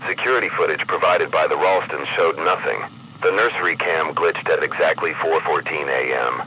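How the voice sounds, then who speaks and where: A man reading a scripted horror emergency broadcast as an announcer, in a grave, measured, ominous manner. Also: a bass voice. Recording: muffled broadcast with clear background noise.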